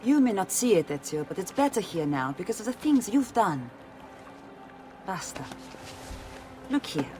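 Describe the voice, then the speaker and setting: A woman's voice: mid-range voice, very animated, normal speed, pauses often, dark tone, a little hiss or hum, lightly acted. An actress playing a spirited young female thief in a game cutscene, with a playful, teasing manner. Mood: affectionate. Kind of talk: video game cutscene acting.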